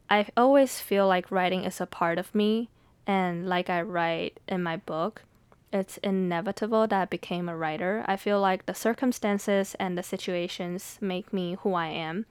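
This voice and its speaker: A young Taiwanese American woman whose first language is Mandarin, talking candidly and thoughtfully on her own podcast, laughing softly between thoughts. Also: mid-range voice, clear, resonant tone, dry recording. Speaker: poet and podcast host. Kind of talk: reflective solo podcast talk.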